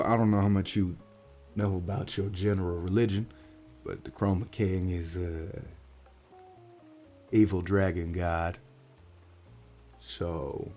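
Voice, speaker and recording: A man voicing a gruff, world-weary orc private investigator in a soft-spoken roleplay, with a folksy, wry drawl. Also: low-pitched. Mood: grim, reassuring. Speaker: voice actor in roleplay audio.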